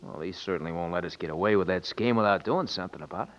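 A man's voice: sits low, quick pace, natural voice. An actor playing a blunt, offhand safecracker henchman in an old radio western. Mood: doubtful. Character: brusque safecracker henchman to a swindler. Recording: dull vintage radio recording.

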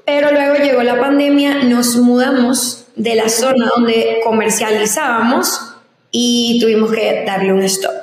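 A woman's voice: a little high, fairly even intonation, a little slow. Young woman in an echoey room. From Venezuela (Zulia). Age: 20s. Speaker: guest. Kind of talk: casual interview chat. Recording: echoey room with long reverb.

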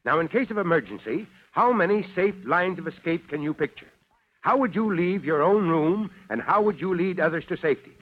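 An official fire chief addressing children in an old radio program. His fairly high voice gives orders with authority, on a dull recording. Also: animated, brisk. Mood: official, instructive. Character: authoritative fire chief instructing young listeners.

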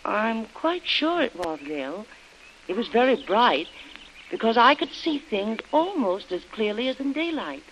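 A woman's voice: on the high side, sing-song, a little slow, a bit bright, full character voice. A woman playing an anxious young wife who earnestly recounts events in a vintage radio drama. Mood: earnest recollection. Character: Helen, anxious young wife recalling her ordeal. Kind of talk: 1940s radio drama acting. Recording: muffled, noisy old radio recording.

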